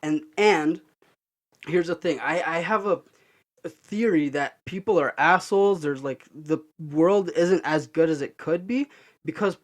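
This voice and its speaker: A young man co-hosting a podcast, making his points in an animated, opinionated way and laughing easily. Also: fairly high pitch, a little slow. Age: young adult. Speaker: podcast co-host. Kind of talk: casual chat.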